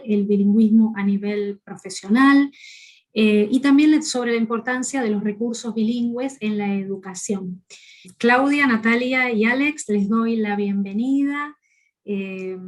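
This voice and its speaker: A woman presenting an event, welcoming the panel in a polite, formal way with a few hesitant fillers. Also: fairly high pitch.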